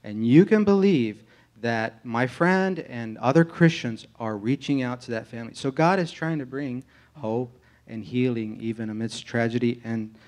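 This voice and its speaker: A man with an American accent and a mid-pitched voice gives an informal testimony to a church as a candidate for pastor. He speaks earnestly, feeling his way through phrases in a halting manner. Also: a little mellow. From United States, grew up in Tennessee.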